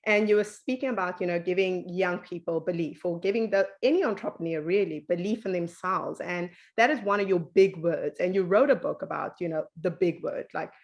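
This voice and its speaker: Female host, affirming and warm, slightly low voice, casual interview. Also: fairly quick, hardly any pauses, dull audio.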